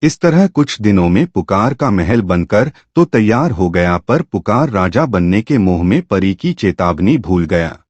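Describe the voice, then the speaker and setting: A man narrating a moral folk tale in an animated storytelling style. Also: on the low side, unhurried, few pauses, no room sound, lo-fi sound.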